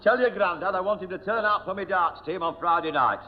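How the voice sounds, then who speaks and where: A man's voice: high voice, a little fast, lightly acted. A man playing a blunt, mocking, bullying neighbour in a 1970 radio sitcom. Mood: gruffly threatening. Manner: jeering, blunt. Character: loud-mouthed, mocking bullying neighbour, male taxi boss. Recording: muffled, very noisy old radio recording.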